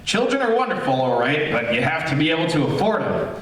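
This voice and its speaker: A man's voice: fairly high pitch, big pitch swings, quick pace, fairly bright tone. An actor playing a grumpy, sleepy, put-upon husband who groans and stammers his complaints in an old-time radio comedy sketch. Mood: exasperated. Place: theater stage. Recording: distant, echoey theater live stream.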